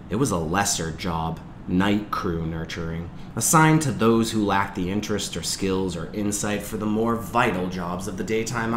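A man reading a novel aloud as a teacher, in measured, expressive storytelling narration. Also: low-pitched. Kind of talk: audiobook-style read-aloud narration. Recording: clear background noise.

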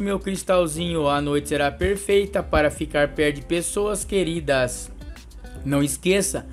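A Brazilian man in his thirties reading a daily horoscope and pitching readings, with an affectionate, coaxing manner. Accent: Brazilian Portuguese. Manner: affectionate, calls listener "meu ser de luz". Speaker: astrologer.

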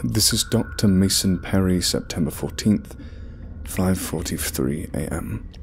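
Deep-voiced man, tense hushed log. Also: unhurried, slight background noise. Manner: low hushed whispering, tense. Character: shaken male scientist in a cave. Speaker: expedition scientist recording a log. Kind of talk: horror audio drama narration.

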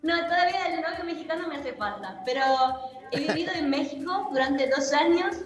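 A woman's voice: high voice, animated, fairly quick. Woman, reflective, video call, long reverb, faint music. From Italy. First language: Italian. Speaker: guest singer. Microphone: laptop video call. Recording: roomy video call audio.

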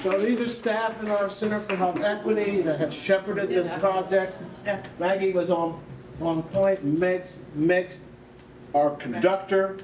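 An older man with a fairly high voice hosts a live event on stage. He talks off the cuff and with animation to guests and audience. The room echoes around him. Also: steady tone, unhurried, a crowd in the background. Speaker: event host, researcher. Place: indoor rehearsal hall, on stage. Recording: echoey room, faint background noise.

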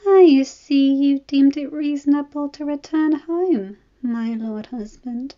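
A woman voicing a pregnant young noblewoman, sharp-tongued and fierce, with a high, heated scolding manner, read as a storybook. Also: animated, a little slow. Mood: teasing, grumbling. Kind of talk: storybook reading. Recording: slightly dull lo-fi recording.

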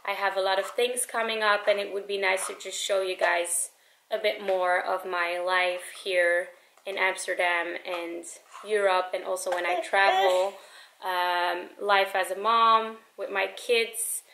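Bright sharp-toned woman vlogging in her kitchen, lots of ums, roomy echo. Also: mid-range voice, flat delivery, unhurried, creaky, a little hiss or hum. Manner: chatty, with frequent ums and uhs. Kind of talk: casual vlog talk to camera. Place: home kitchen. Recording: echoey room.

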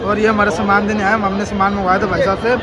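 A young man narrates a train journey for his vlog in a chatty, casual way. His voice sits high. A crowd bustles loudly around him on the platform. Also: level tone, fast talker. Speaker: vlogger. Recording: crowded train station noise.

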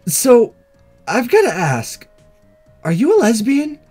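A man's voice: extremely high pitch, wildly swooping pitch, takes it slowly, a little mellow, soft background music, voice pushed up, heavily acted. A man voicing a perky, forward teenage schoolgirl in a visual novel game, breezy and teasing in a girlish way. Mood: curious, forward.

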